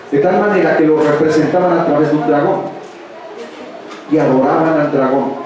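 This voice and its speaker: A man's voice: fairly high pitch, background noise. A Mexican man with a Mexican Spanish accent, preaching a sermon in church and firing rhetorical questions at the congregation. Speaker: pastor. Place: large church hall with congregation. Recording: echoey hall, distant mic, congregation audible.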